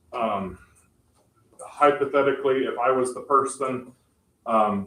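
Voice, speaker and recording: A man explaining tech options to a city council, halting and full of fillers and restarts. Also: medium pitch. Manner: says he has a loud voice. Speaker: tech presenter at council meeting. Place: council meeting room. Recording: echoey room, far from mic.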